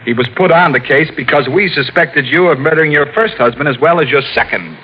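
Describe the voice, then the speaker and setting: A stern, methodical district attorney, voiced by a man in an old radio drama. He lays out his case in a crisp, measured way. The sound is dull and muffled, like a vintage broadcast. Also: a little high, expressive, a little hiss or hum, close to an everyday voice. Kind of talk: 1940s radio acting.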